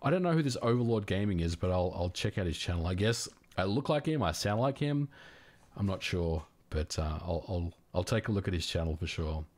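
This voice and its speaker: A fairly low-voiced Australian man in his thirties chats easily with his viewers on a livestream. His talk is friendly and unhurried, with plenty of "um"s and restarts as he answers questions. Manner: relaxed, friendly, frequent fillers and restarts. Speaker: livestream host. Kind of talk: casual livestream chat reading viewer questions.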